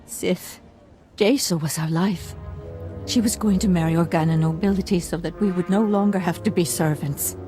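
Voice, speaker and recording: A woman playing a worried, embittered mother and castle servant in a video game, speaking gravely and with anxious emphasis. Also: on the low side, moderate pace, soft background music, lightly acted. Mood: bitter regret. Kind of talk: video game dramatic acting.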